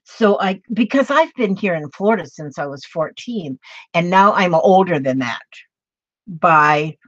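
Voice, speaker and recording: A woman's voice: lowish voice, animated, a little slow, no echo. Chatty woman in her late sixties. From Minnesota. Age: 60s. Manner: chatty and rambling, with many fillers and restarts. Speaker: crafting video host. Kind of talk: casual chat with live viewers while crafting. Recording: dull, slightly lo-fi sound.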